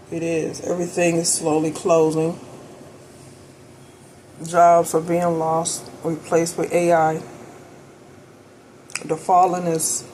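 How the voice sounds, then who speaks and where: A woman's voice: lowish voice, mellow, a little hiss or hum. A woman talking off the cuff in a preachy, conversational way, insistent, in short declarative phrases. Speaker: co-speaker on a spiritual talk video.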